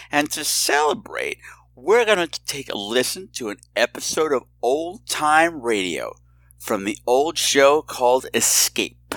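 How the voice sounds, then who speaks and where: A man's voice: medium pitch, sharp tone, no echo. A man hosting a podcast in a casual, personal monologue, with a theatrical, campy streak and a candid, confiding way of talking. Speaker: podcast host.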